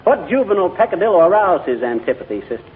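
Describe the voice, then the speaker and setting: An actor playing a brash, folksy wisecracking husband with an American accent in a 1940s radio comedy. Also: male voice, sits high, sing-song, rapid-fire. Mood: curious, puzzled. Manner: slangy, blustering wisecracks. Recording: tinny, noisy old radio broadcast, audience noise.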